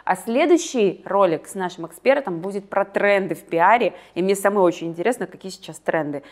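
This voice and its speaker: A woman hosts a business interview, asking questions and turning to speak straight to her audience. Her voice sits at a middle pitch. She is engaged and promotional when wrapping up. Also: lively intonation, fairly quick. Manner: engaged, addresses viewers directly with calls to action. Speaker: host. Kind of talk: interview host chat.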